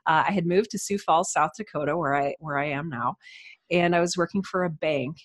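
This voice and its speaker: A woman in her thirties speaks in a fairly low register. She tells personal stories in a casual, self-deprecating way, as a guest on a podcast would. The sound is dry, with very little room. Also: fairly quick, no room sound. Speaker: interview guest, writer and podcaster.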